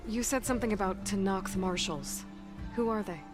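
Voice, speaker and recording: An actress playing a determined, blunt young heroine in a video game, direct and impatient with a sardonic edge. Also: female voice, mid-range voice, moderate pace, natural voice. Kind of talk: video game cutscene acting. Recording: music behind the voice.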